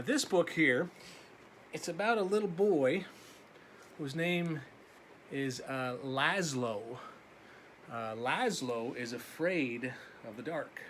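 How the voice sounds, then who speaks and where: Male preschool teacher, read-aloud: bright, slow delivery with simple asides, some background noise. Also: medium pitch. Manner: simple asides to young listeners. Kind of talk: picture-book read-aloud for young children.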